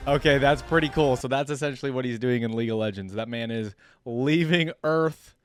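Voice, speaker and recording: A man's voice: mid-range voice, fairly quick, clean tone, dry recording. Man, reaction host, informal thinking-aloud commentary, very dry sound, music underneath. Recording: music playing behind.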